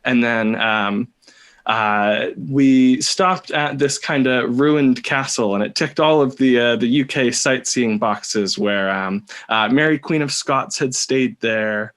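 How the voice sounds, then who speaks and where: A man with a Californian American accent, telling a personal story casually as a podcast guest, rambling and pausing often. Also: mid-range voice, a bit bright. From California, United States. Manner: hesitant, rambling, with many pauses.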